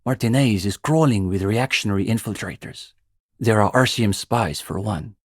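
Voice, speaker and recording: A male actor voices a young student revolutionary for a video game, earnest and self-important. His voice sits fairly low with a husky grain, recorded close and dry. He speaks like a bookish intellectual, precise and given to lecturing. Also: normal speed, no echo, close to an everyday voice. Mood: guarded, skeptical. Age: young adult. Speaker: student leader of a revolutionary reading group. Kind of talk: video game character dialogue.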